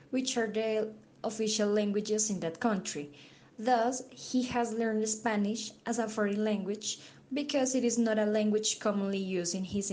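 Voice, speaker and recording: A woman narrating a scripted academic analysis in a measured, read-aloud manner. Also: mid-range voice, mellow. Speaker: student narrator.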